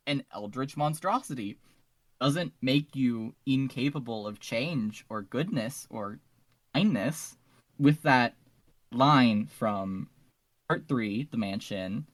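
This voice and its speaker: A man's voice: mid-range voice, dry recording. A man talking casually in a podcast discussion as one of the panelists.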